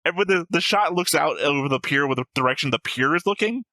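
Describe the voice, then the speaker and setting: A man with a bright, forward voice recorded very dry. He hosts casually, reading comments aloud and bantering, quick to argue a point with a wisecrack. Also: fairly high pitch, expressive, no echo. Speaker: reaction show host.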